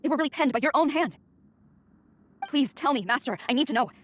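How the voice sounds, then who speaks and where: A woman's voice: high voice, brisk, lightly acted. An actress playing a stern, dutiful young woman general in a video game, speaking in a formal, commanding and earnest way. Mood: pleading, solemn. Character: stern, dutiful young female general, betrayed by her master. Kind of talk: dramatic video game acting.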